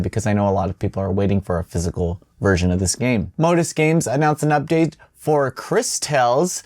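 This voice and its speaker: A man's voice: mid-range voice, a little mellow, dry recording. Casual man hosting game news, dry close sound. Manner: informal, chatty asides and self-doubting remarks. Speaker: host of a weekly video game news show. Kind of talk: casual news rundown with off-the-cuff commentary.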